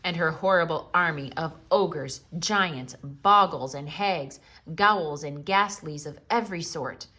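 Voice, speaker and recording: A woman giving a theatrical read-aloud of a children's storybook, expressive and full of dramatic pauses. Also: sits low.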